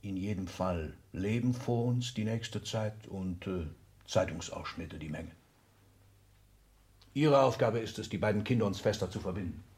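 Imperious old magnate, swelling into declamation. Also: male voice, on the low side, a little slow. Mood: confident, instructing. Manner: imperious, declamatory, bursting from muted asides into shouts. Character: domineering seventy-year-old excellency, vain, combative industrial magnate. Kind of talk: 1960s German radio drama acting.

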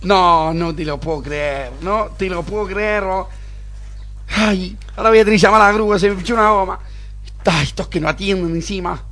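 A man's voice: sits high, fairly even intonation, fairly quick, sharp tone. A man playing a spoiled, impatient young boss with an affected upper-class Buenos Aires drawl, whiny and dismissive, in an amateur radio play. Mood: scornful. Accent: Argentine, Buenos Aires. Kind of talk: amateur radio drama acting.

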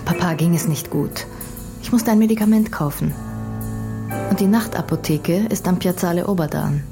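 Low-voiced, composed actress as a young woman. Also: moderate pace, music in the background, lightly acted. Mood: explaining. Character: composed young woman working as undercover decoy. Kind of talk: German radio crime drama acting.

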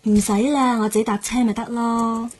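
A fairly high young female voice with a Hong Kong Cantonese accent. She is a detective's girlfriend in a radio drama, playful and plain-spoken yet forbearing. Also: flat delivery, normal speed, a little mellow, slightly rough, no echo. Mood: forbearing, teasing.

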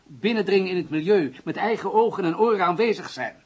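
A man's voice: sits high, expressive, fast talker, slightly rough. An actor in a Dutch radio play playing a formal police commissioner who firmly and reasonably argues his case. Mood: persuasive. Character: male federal police commissioner firmly defending undercover methods.

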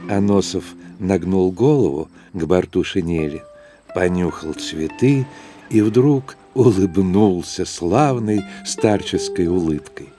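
An actor narrating a classic literary tale in an audio drama in a measured, lyrical manner. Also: male voice, deep voice, warm tone. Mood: calm, lyrical. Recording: guitar music behind narration.

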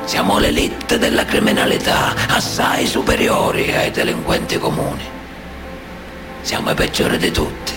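An actor playing a proud, ominous man of honour in a solemn, deliberate radio drama monologue. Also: lightly acted. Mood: proud, menacing. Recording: music behind the voice.

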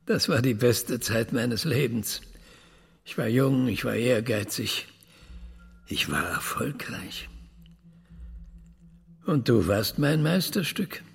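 An actor playing a weary, wistful middle-aged scientist who trains a thinking machine, husky and gravelly, in a radio drama. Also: male voice, medium pitch, animated, slow, long pauses, exaggerated voice. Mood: nostalgic. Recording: long hall reverb.

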